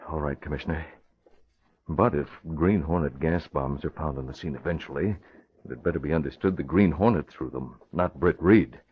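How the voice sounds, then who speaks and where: Very deep, composed gentleman. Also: normal speed, a little hiss or hum. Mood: guarded. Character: cautious, composed gentleman with secret identity. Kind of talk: 1940s radio acting. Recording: thin phone-like old radio recording.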